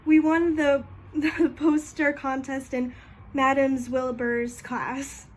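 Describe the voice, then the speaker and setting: A woman's voice: sits high, little pitch movement. A young woman playing a shy, wistful, grieving teenage classmate in an amateur drama, speaking hesitantly. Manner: hesitant, halting delivery. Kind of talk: amateur stage drama monologue.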